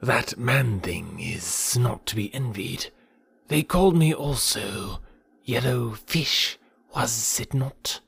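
A man voicing a huge old python for a storybook reading, haughty and menacing, with a breathy, whispery rasp and drawn-out delivery. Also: medium pitch, animated, fairly bright tone, no echo, heavily acted. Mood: haughty contempt. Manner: slow, drawn-out, deliberate. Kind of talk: audiobook character voice.

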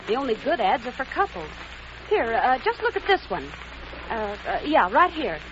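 A spunky young typist. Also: female voice, sits high, sing-song. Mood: eager. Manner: brisk, chatty, eager. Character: spunky young jobless typist, chatty and pushy. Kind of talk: 1940s radio acting. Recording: dull old radio recording, hiss.

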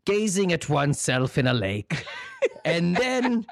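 A man putting on a genteel old horror movie star who made his name playing monsters. He speaks in a courtly, theatrical manner at a slightly raised pitch, improvising comedy on a close, dry recording. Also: very animated, vocal fry, dry recording, heavily acted. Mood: courtly, nostalgic. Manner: courtly, deliberate, theatrical delivery. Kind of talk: improvised comedy interview in character.

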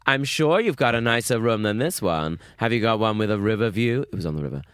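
A man's voice: lowish voice, animated, quick pace, fairly bright tone, clean tone, dry recording, clean studio sound. A young man co-hosting a podcast, telling stories in casual chat, with camp, chatty put-on quotes. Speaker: podcast co-host.

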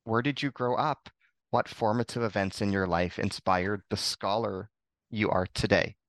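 A man's voice: lowish voice, fairly even intonation, moderate pace, creaky, no room sound. A man hosting a podcast, asking formal, prepared interview questions. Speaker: podcast host.

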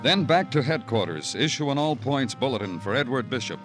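An actor playing a terse, weary American police detective narrating his case in a 1950s radio crime drama, clipped and deadpan. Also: mid-range voice, fairly quick, close to an everyday voice. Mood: brooding, matter-of-fact. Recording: old radio recording with music.

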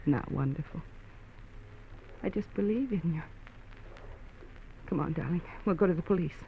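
Devoted pleading wife, tinny old radio. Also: female voice, lowish voice, normal speed, gravelly. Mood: resolute, tender. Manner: earnest, emotional, insistent. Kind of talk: 1940s radio drama acting. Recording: thin, phone-like, noisy old radio recording.

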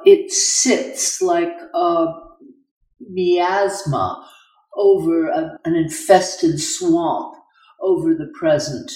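An older woman, a scholarly interview guest, speaking thoughtfully and precisely, with deliberate pauses in mid-phrase. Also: on the low side, darkish tone. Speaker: guest, moral philosopher and author. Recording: echoey room, distant microphone.

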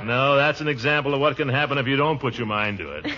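An actor playing a pompous, fond middle-aged uncle who blusters and chuckles in an old radio comedy. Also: male voice, medium pitch, fast, close to an everyday voice. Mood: self-satisfied. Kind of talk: 1940s radio comedy acting. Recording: muffled, noisy old radio recording.

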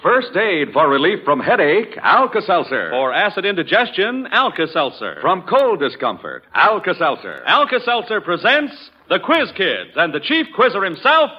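A man's voice: sits high, huge pitch swings, normal speed, slightly rough. An American man announcing a sponsor in punchy, declamatory old-time radio style. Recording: dull vintage radio recording.

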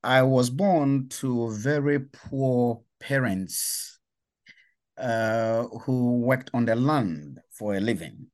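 An older man from Ghana, an author and professor, answering interview questions thoughtfully with many pauses and fillers. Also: medium pitch, darkish tone.

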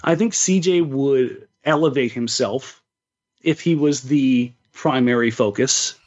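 Dull-sounding man talking basketball, low-pitched. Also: measured pace, darkish tone. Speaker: sports podcast co-host giving basketball analysis. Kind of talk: casual opinionated sports discussion.

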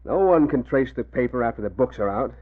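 A man's voice: medium pitch, expressive, lightly acted. A man playing a smug, cold swindler. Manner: smug, unhurried, calculating. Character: scheming male racketeer, smug and cold. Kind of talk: 1940s radio acting. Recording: tinny old radio broadcast.

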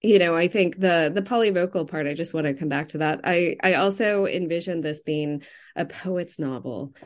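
A woman speaking as an interviewed novelist, reflecting casually and circling haltingly around her thoughts. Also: fairly low pitch.

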